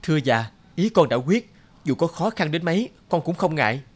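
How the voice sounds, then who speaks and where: A man's voice: a little high, very animated, moderate pace, a little hiss or hum. A man voicing a determined young village man in a cartoon, earnest and respectful. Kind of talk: cartoon voice acting. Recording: voice under very loud music.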